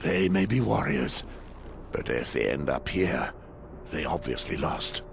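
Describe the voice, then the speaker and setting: An actor playing a gruff, defiant captive ranger in a video game, with a gravelly voice. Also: male voice, a bass voice, very animated, measured pace, music in the background, heavily acted. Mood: grim. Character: defiant, gruff male Night's Watch ranger held captive. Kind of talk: dramatic video game acting.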